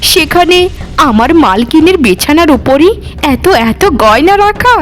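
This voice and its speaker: A woman voicing a greedy, scheming, sharp-tongued housemaid in a cartoon, with dramatic, emphatic repetition. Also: high voice, very animated, measured pace. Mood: greedy, excited. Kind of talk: Bengali cartoon voice acting.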